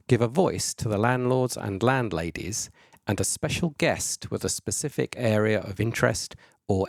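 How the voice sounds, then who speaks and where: Chatty low-voiced podcast host man. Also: a little mellow, dry recording. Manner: chatty, digressive, laughs along. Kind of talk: casual podcast interviewing. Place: brewery.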